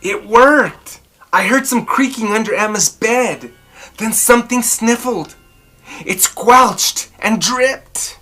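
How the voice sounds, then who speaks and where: A woman storyteller reading a children's picture book aloud expressively, with dramatic, drawn-out emphasis. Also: a little high, a bit bright, faint music behind.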